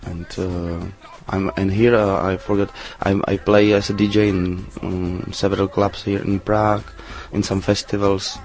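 A man, his voice sitting low and staying flat. He answers questions in halting, English, pausing on fillers and restarting his sentences. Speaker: interview guest, DJ. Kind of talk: casual interview answers.

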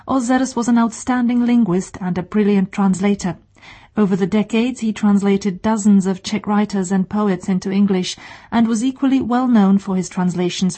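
Mellow-voiced woman radio host. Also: medium pitch. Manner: measured, clear announcing. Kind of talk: scripted radio presentation.

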